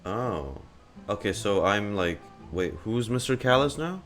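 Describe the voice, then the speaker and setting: A man streaming a game with casual, playful reactions, answering the characters. His voice is low but swings a lot in pitch. Faint music runs underneath. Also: expressive, unhurried, a bit bright.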